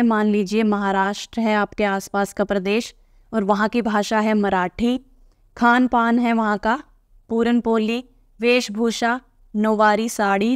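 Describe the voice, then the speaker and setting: A woman's voice: fairly high pitch, clean tone, no echo, studio quality. A woman teacher reading aloud from a textbook and patiently explaining each answer to young pupils.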